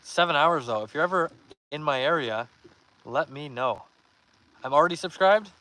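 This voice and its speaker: A young man hosting a live fishing stream outdoors, reading viewer comments aloud and giving upbeat shout-outs in a relaxed voice. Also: a little high, normal speed, low-quality recording. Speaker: fishing livestream host.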